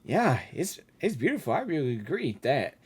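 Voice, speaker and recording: A woman's voice: deep voice, big pitch swings, brisk, a little mellow, breathy edge. A woman game streamer giving casual, informal reaction commentary and talking back to the characters.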